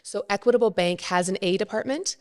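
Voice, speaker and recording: A woman's voice: mid-range voice, a little fast, a little mellow. A woman, a mortgage expert guest, explaining products plainly in a casual podcast interview. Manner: explains plainly, with frequent fillers.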